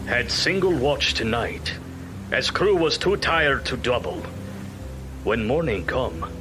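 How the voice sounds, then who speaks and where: An actor playing a weary ship's captain, narrating his log gravely in clipped, deliberate phrases in an audio drama. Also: medium pitch, very animated, over music. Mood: grave, measured.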